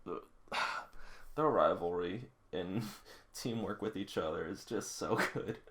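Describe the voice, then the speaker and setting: Low-voiced man, amused manga fan reading along. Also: takes it slowly, fairly bright tone. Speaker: hobbyist reader commenting on a manga. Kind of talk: casual read-aloud with amused asides.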